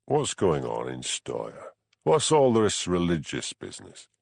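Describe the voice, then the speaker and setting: A man voicing a stubborn, grumbling village constable with a Scottish Highland accent in an audiobook. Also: huge pitch swings, unhurried, gravelly, a little mellow, no echo, exaggerated voice. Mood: impatient, probing. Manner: dry, complaining, questioning.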